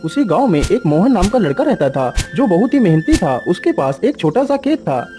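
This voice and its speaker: A man's voice: sits high, sing-song, moderate pace, warm tone, faint music behind. A man narrating a scripted cartoon story in a storytelling style.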